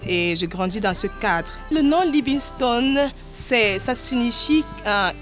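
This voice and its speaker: A woman with a Cameroonian accent speaking as an interviewed gospel artist, in an earnest, devout manner. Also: mid-range voice. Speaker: gospel artist and choir director being interviewed. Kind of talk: interview talk about life and music.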